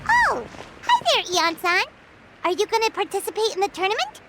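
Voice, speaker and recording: A woman voicing a tiny childlike fairy companion, chirpy, eager and squeaky, in a video game. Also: huge pitch swings, a little fast, background noise, exaggerated voice. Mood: cheerful, eager. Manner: speaks of herself in third person, exclaiming. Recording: loud music under the voice.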